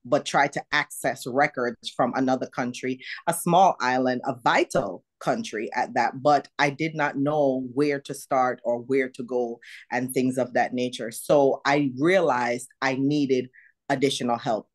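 A middle-aged woman from Jamaica with a mild Jamaican accent, telling her story animatedly as a guest on a video call interview. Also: measured pace. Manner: animated, jumps high to act out her own past words. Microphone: video call webcam.

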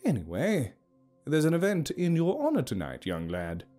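A man's voice: on the high side, huge pitch swings, normal speed, mellow, faint music behind, pitched above the performer's own voice. A man voicing a jovial, courtly older man with a fatherly, old-fashioned manner in an audio story.

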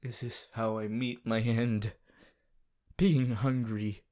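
A man voicing a goofy, melodramatic teenage anime boy in a fan audio roleplay, whiny and drawn-out, talking to the listener. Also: mid-range voice, fairly even intonation, warm tone, natural voice. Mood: melodramatic despair.

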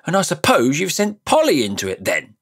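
Guy doing a blunt stubborn schoolboy, slightly higher, lively delivery. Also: fairly quick, breathy edge, heavily acted. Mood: defiant, indignant. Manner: blunt and indignant. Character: angry, defiant young schoolboy. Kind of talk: audiobook character voice.

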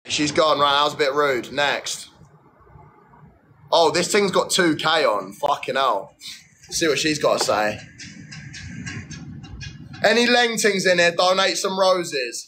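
A man's voice: fairly high pitch, pushed and bright, slight background noise, poor audio. A brash young man, hosting a livestream from his phone indoors and bantering crudely with viewers. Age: young adult. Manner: brash, swearing, fast slang banter. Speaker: livestream host. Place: indoor room. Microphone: phone at arm's length.

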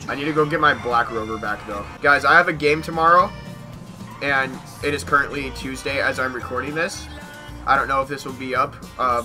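A young American man giving casual gameplay commentary in an excitable manner, repeating short phrases. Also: mid-range voice. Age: young adult. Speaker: gaming YouTuber. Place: small bedroom at home.

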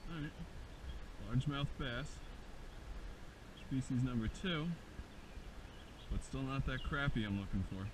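A man's voice: medium pitch, unhurried, pauses often. A young man in his twenties from Long Island, New York, chatting casually as he narrates a freshwater fishing vlog outdoors, recorded on an action camera. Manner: relaxed, chatty commentary while fishing. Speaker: fishing vlogger. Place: outdoors by a pond and stream. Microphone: action camera mic. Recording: outdoor camera audio with clear background noise.

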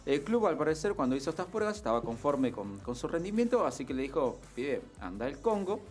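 A man's voice: fairly high pitch, big pitch swings, fairly quick, a little mellow, faint music behind. A man, not a native Spanish speaker, telling a football story as a sports columnist on a studio radio show. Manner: storytelling, many fillers and tag questions. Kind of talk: casual radio chat. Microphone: studio mic on boom arm, headphones.

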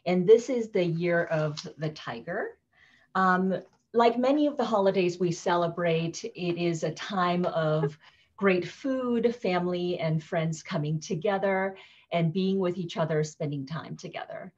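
Author on a dull-sounding video call. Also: female voice, on the low side, measured pace. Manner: warm, thoughtful, many ums and you-knows. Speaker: picture book author. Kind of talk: casual live book talk. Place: living room with fireplace. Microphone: laptop webcam video call.

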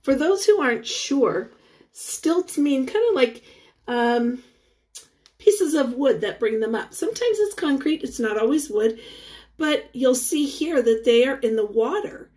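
A woman teacher reads a picture book to young children in a high voice that rises and falls widely. She speaks in short, deliberate phrases and stops to ask the listeners questions. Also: animated, a little mellow. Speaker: teacher reading aloud to children.

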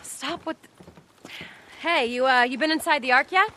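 A brisk camp scientist in a video game. She sounds earnest and guarded but determined, with a bright, forceful voice. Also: high voice, slight background noise.

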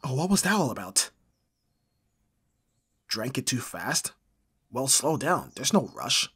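A man's voice: mid-range voice, very animated, takes it slowly, bright, forceful tone, breathy edge, no echo, exaggerated voice. A man playing a caring but easily exasperated young roommate in an ASMR roleplay audio drama, casual and dryly bantering. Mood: concerned.

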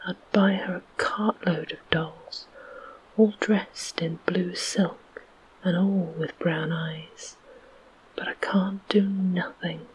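A storyteller voicing a loyal, gentle young boy, still in a soft whisper. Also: medium pitch, fairly even intonation, slow, breathy edge, low-quality recording. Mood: miserable.

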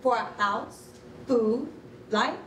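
An actress plays a comforting mother in a children's story. She is tender and sincere, with a high voice and slow delivery. The stage reading is picked up from a distance. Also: fairly bright tone. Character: loving, comforting mother confiding in her child. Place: concrete rehearsal hall, standing at music stand. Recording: distant roomy stage recording, faint noise.

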